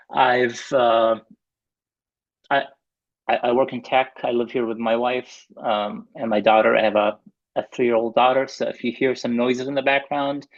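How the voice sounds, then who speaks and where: Earnest man speaking on a muffled video call. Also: mid-range voice. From Lebanon. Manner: hesitant, many restarts, earnest. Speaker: webinar speaker. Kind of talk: presentation over video call. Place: room at home. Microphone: computer mic on a video call.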